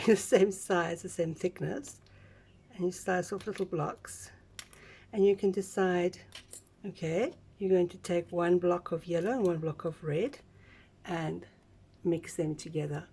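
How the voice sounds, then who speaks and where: A woman with a slightly croaky voice, giving a chatty, relaxed step-by-step craft tutorial with small asides. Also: on the low side, unhurried, pauses often. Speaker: craft instructor.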